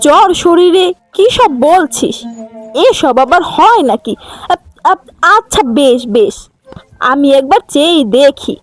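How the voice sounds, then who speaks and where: An earnest, practical village mother in a fairy-tale cartoon , as a woman acts her. She speaks in a high, animated voice with plenty of rise and fall, and music plays softly behind. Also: measured pace. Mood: doubtful.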